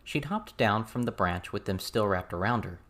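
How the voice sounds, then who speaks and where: A man's voice: lowish voice, expressive, normal speed, a bit bright. A man reading a scripted story as an audiobook narrator.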